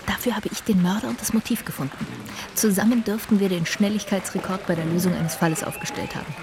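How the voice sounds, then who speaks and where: A confident investigator in a radio drama, acted by a woman, brisk and direct. Her voice has a medium female pitch, with clear ambient noise behind it. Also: fairly even intonation, a crowd in the background, close to an everyday voice. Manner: assured, direct. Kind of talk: German radio drama acting.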